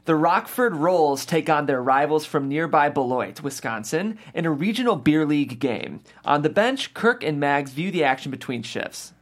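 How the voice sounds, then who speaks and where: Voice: mid-range voice, animated, normal speed, hardly any pauses. A narrator reading the stage directions of a comedy script aloud at a table read.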